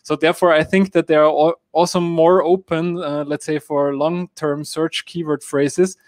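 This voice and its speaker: A man's voice: fairly high pitch, flat delivery, normal speed, a little mellow, dry recording, clean studio sound. A man from Austria whose first language is German, explaining app marketing in a live-stream Q&A with many fillers and hedging phrases. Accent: German-speaking Austrian. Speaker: app marketing guest expert.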